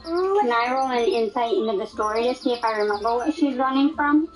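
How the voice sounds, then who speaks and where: High-voiced woman playing a tabletop game. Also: animated, fairly quick, soft background music, rough recording. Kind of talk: casual game chat.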